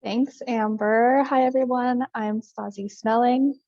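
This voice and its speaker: A woman in her twenties co-presenting an online workshop, speaking in a deliberate, welcoming way as she asks the audience to introduce themselves. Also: a little high, steady tone, dark tone, dry recording, dull audio. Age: 20s.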